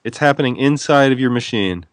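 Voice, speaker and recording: A man's voice: mid-range voice, brisk, dry recording. A man who is an author, talking as a guest in a casual radio interview, playful and wry, wandering off on tangents.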